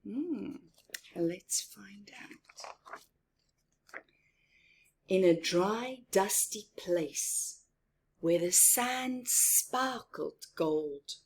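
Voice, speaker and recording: Really slow breathy lady doing kids story time, explains stuff simply as she reads. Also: mid-range voice, darkish tone. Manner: addresses young children, simple explanations, deliberate emphasis. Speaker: storytime reader and teacher. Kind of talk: children's picture-book read-aloud with chatty asides.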